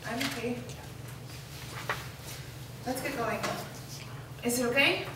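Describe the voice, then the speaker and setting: A woman's voice: fairly high pitch, takes it slowly. A woman playing a guarded, withdrawn fifteen-year-old girl in a staged screenplay reading. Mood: subdued, reluctant. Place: live reading in a reverberant room. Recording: echoey noisy room, distant microphone.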